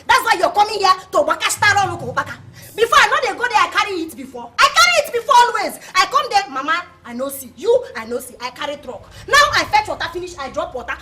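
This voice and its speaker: A woman's voice: very high-pitched, pushed and bright, reverberant, slight background noise, heavily acted. A woman with a Nigerian accent playing a hot-tempered, boastful village woman who scolds and threatens in pidgin English. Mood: scolding. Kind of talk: comedy skit acting.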